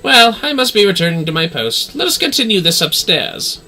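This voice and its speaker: An eager, courteous game scholar, voiced by a man, speaks in formal archaic phrases. The voice is raised and sharply bright, with animated swings of pitch over faint noise. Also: fairly quick, soft background music, full character voice. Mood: fascinated.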